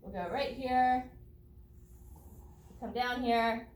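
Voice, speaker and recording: A woman's voice: a little high, extremely slow. A woman teacher reading a children's non-fiction book aloud, explaining it expressively in simple words for young listeners. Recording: roomy echo, distant microphone.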